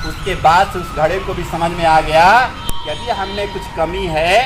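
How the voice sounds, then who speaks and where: A man's voice: high voice, steady tone, normal speed, background noise. A man teaching schoolchildren through a moral story, explaining simply and checking in with questions. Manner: simple explanatory phrasing, prompting listeners with questions. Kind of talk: animated storytelling to a class, with questions to listeners. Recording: music laid under the voice. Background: music.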